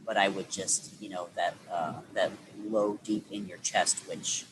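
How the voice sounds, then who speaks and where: Low-voiced man, rambling and reflective. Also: steady tone, measured pace, background noise. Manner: rambling, many restarts and fillers. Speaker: interview guest. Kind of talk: reflective conversational interview.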